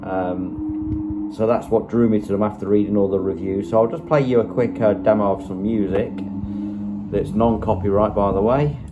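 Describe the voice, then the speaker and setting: Male channel host, casual reviewer, lowish voice with little inflection, conversational and unscripted, phone mic. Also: steady tone, normal speed, noisy background. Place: small room indoors. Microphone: phone microphone, held behind the camera.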